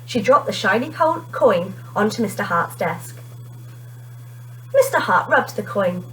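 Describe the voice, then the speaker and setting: A woman teacher reading a children's storybook aloud in an expressive narrating style. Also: medium pitch, unhurried, a little hiss or hum, poor audio.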